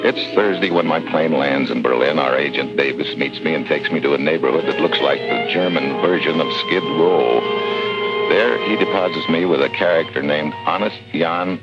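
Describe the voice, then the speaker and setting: A man's voice: a bass voice, normal speed, over loud music. A man acting a wry, tough American secret agent in a 1950s radio drama, with sardonic, wisecracking delivery. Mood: dry, resigned. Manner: sardonic, hard-boiled, wisecracking. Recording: dull old radio recording.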